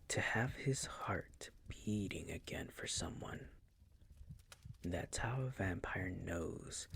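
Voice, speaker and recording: A man playing a seductive, possessive vampire noble who dotes on his servant, in an intimate ASMR roleplay with a breathy, gravelly, crooning whisper. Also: lowish voice. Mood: tender, soothing. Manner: slow, crooning, teasing, possessive.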